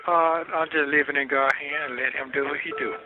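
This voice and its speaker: A man's voice: fairly high pitch, fairly even intonation, brisk. A man chatting casually on a personal jail phone call, often repeating short phrases. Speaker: jail inmate calling his wife. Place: jail telephone. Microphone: telephone handset. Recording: very noisy tinny jail phone line.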